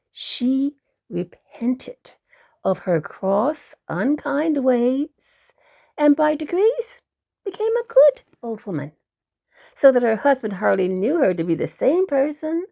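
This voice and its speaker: A woman tells a children's fairy tale at a very unhurried pace. Her pitch is on the high side and swings widely, with dramatic emphasis and dips into a hush. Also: gravelly. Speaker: children's storyteller. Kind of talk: expressive fairy tale narration.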